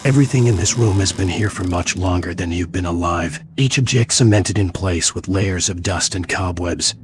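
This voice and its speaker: A man narrating a gothic mystery game as a brooding storyteller, in a measured, atmospheric scripted style. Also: low-pitched, natural voice.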